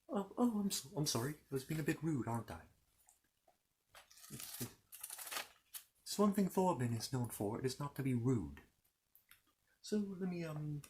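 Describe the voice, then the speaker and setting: A man performs a courteous, fussy keeper of storybook histories in an ASMR roleplay. His mid-pitched voice rises and falls widely as he rambles, hesitates and repeats himself apologetically. Faint noise sits behind the voice. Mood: polite, flustered. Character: fussy, courteous Narnian bookkeeper recounting his world's history.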